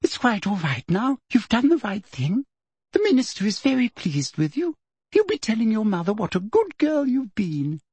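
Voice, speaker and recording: A man narrating a storybook puts on a middle-aged female official with a high, silky, condescending voice. The sweetness is false and sinister, with a lilting range and slightly breathy tone. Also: sing-song, moderate pace, a little mellow, dry recording, heavily acted. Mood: sugary.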